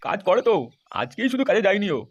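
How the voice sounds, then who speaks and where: Man voicing a high-pitched, blustering cartoon father. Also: very animated, quick pace. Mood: defensive. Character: blustering middle-aged father, easily scandalised. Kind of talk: crude comedy cartoon dialogue. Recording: dull sound, loud background noise.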